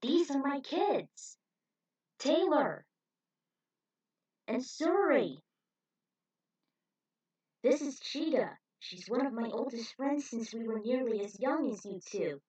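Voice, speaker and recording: Proud, warm father and retired hero in amateur storytelling played by a female narrator. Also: high-pitched, expressive, slow, long pauses, slightly rough, distant mic, muffled recording, voice pushed up, heavily acted. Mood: cheerful, proud.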